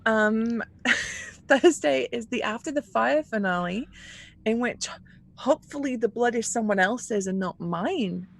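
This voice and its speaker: A woman's voice: fairly high pitch, animated, unhurried, gravelly. A woman chatting casually and wryly about the game streams they play and run. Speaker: game player and co-game master promoting streams. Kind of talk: casual chat.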